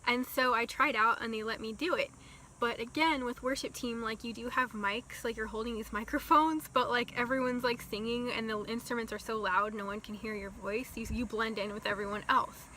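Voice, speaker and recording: Young woman, slow soft storyteller, bright and a bit high, faint hiss behind. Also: fairly even intonation. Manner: slow, soft-spoken with many ums and likes. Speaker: vlogger. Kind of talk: casual storytime.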